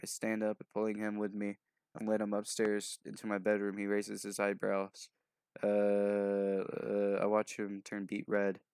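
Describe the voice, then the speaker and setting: A man with a fairly low voice and plenty of fry reads aloud in a loose, unrehearsed way. He stumbles over words, skims mumblingly and drops in offhand asides, sounding short on sleep. The sound is close and dry. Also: monotone, unhurried, a little mellow, dry recording. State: sleep-deprived. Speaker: video host doing a fanfic reading. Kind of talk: loose, improvised reading aloud.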